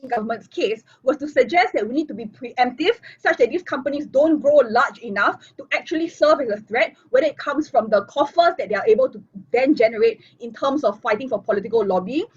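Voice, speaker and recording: A woman's voice: a little high, breathy edge. A woman giving a fluent, structured competitive debate speech as opposition whip over a video call. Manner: fluent, structured argument, formal debate phrasing. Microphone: computer mic on a video call. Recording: muffled lo-fi online call audio.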